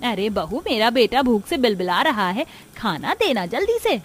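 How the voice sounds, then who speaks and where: A woman voicing a stingy, bossy older mother-in-law in a family cartoon, with a brisk, scolding manner. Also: on the high side, sing-song, husky. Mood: bossy, impatient. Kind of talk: Hindi family cartoon storytelling.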